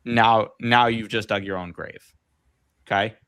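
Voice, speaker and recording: A man's voice: lowish voice, fairly even intonation, a little slow, a bit bright, clean tone. A man co-coaching on a video call, giving direct, practical advice and checking in with listeners.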